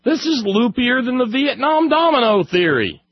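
A man hosting a political call-in radio show solo, in a sardonic, mock-exasperated way with loose, emphatic, halting delivery and dull lo-fi radio sound. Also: very high-pitched, sing-song, breathy edge, no echo.

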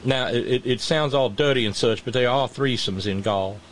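A man's voice: medium pitch, normal speed, a little hiss or hum, poor audio. A man hosting a casual political chat, sarcastic and mocking, often restarting his sentences. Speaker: podcast host.

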